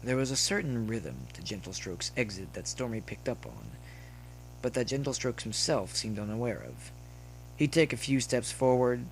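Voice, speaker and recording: Male story narrator, mid-pitched, expressive read, faint hum and noise. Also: low-quality recording. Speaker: narrator reading fan fiction.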